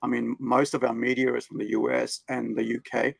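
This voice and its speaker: A man with a New Zealand accent talks casually as a livestream host. His voice has plenty of creak and sits at an ordinary pitch, recorded close and dry, and he wanders through his thoughts conversationally. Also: little pitch movement, fairly quick, no room sound.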